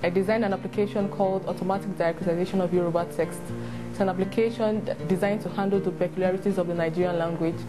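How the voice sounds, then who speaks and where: Lowish woman over guitar music. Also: steady tone, quick pace, low-quality recording. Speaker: software developer. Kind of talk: documentary self-introduction.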